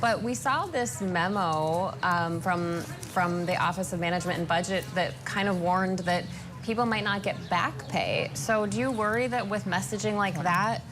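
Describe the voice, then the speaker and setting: An American woman journalist putting questions in a news interview, measured and polite but probing. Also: medium pitch, over music.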